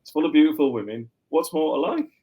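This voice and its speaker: A man's voice: on the high side, big pitch swings, fast, mellow, husky. A man with a mixed northern English accent, chatting as a guest author in a loose, rambling way full of fillers and restarts. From Yorkshire, England. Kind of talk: casual interview chat.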